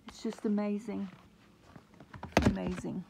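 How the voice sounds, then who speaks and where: A woman chatting excitedly about her seedlings, sometimes dropping to a murmur, recorded on a handheld phone indoors. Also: medium pitch, takes it slowly, creaky, heavy background noise. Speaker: gardening vlogger. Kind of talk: casual home gardening vlog. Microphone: phone camera held close.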